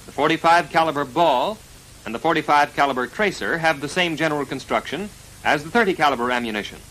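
Bright-voiced American male training film narrator. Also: fairly high pitch. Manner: formal, precise, measured enunciation. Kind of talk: scripted 1940s military instructional narration. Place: voice-over recorded for a film. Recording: noisy vintage 1940s film soundtrack.

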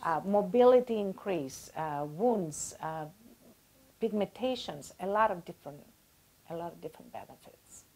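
Animated woman in her sixties explaining. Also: lowish voice, moderate pace. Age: 60s. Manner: animated, gesturing, uses everyday comparisons. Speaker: guest expert. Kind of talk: explanatory interview talk. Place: small indoor office. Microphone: lapel mic.

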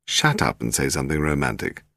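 A man's voice: extremely low pitch, lively intonation, a little fast, creaky, fairly bright tone, dry recording, pitched below the performer's own voice, heavily acted. A man voicing a suave husband and amateur sleuth with a dry, teasing manner. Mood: casual.

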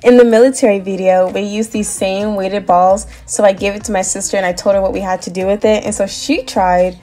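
A woman's voice: medium pitch, steady tone, brisk, dark tone. A young adult woman vlogger casually narrating a workout. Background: faint music.

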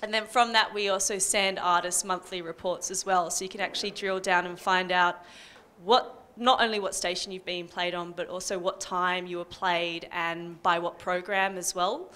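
Young Australian female panellist, informal and explanatory, forward bright tone, slightly low register. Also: fairly even intonation, moderate pace, clean tone. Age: young adult. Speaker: panel speaker from a community radio music service. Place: indoor music venue, small stage panel. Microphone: handheld microphone.

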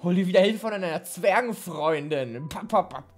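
A man's voice: high-pitched, animated, normal speed. A man voicing a bratty teenage village bully in an audio drama, mocking and taunting childishly.

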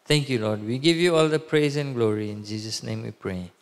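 A man's voice: medium pitch, fairly quick. A man in his thirties leading a church service, making announcements and praying aloud at a pulpit, with many fillers and restarts. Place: church hall, speaking at a pulpit. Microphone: handheld microphone. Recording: long hall reverb.

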